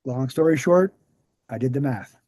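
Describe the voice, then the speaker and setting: A man playing an earnest, weary veteran researcher explaining things in a measured way at a screenplay table read. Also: medium pitch, mellow, slightly rough, dry recording, studio quality, lightly acted. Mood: explanatory.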